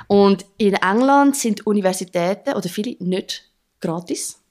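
A woman's voice: medium pitch, normal speed, a little mellow. A young woman with a Swiss German accent, a drummer chatting as an interview guest, laughing often and restarting her sentences. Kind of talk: casual conversational interview.

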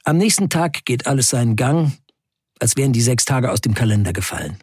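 A man playing a defiant 1970s factory worker on strike, recounting events in a deliberate, declamatory radio play narration. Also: medium pitch, animated, a little mellow, slightly rough, dry recording, clean studio sound. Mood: bitter, defiant. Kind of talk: dramatic first-person radio play narration.

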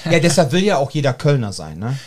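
A man's voice: mid-range voice, sing-song, fast talker, darkish tone. A man chatting casually, acting out dialogue and often tagging questions onto his sentences. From Cologne, Germany. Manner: acts out dialogue, frequent tag questions. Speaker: podcast host. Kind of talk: casual chat with animated anecdotes.

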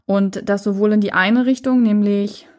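A woman's voice: medium pitch, fairly even intonation, moderate pace. A woman from Saxony-Anhalt hosting her own podcast, talking alone in an earnest, thoughtful way with frequent hesitations. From Saxony-Anhalt, Germany. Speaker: podcast host. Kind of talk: reflective solo podcast monologue.

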